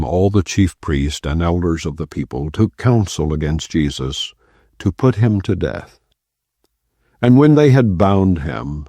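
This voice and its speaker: A man reading scripture aloud in a measured, solemn way, lifting his voice for the quoted speech. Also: low-pitched, vocal fry, mellow, slightly rough, dry recording. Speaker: scripture reader.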